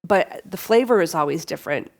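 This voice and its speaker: Sniffly woman with a gravelly low voice. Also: lively intonation, brisk. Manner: earnest, repeats and restarts words, addresses listeners as "you all". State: sniffly with a cold. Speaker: podcast host. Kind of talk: reflective solo podcast talk.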